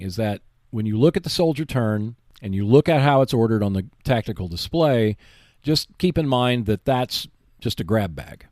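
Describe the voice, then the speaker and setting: Expressive man explaining in a dry studio sound. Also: fairly low pitch, no room sound. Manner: explanatory, emphatic, reassuring. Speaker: host of a tabletop gaming show. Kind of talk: instructional tips talk on board wargaming.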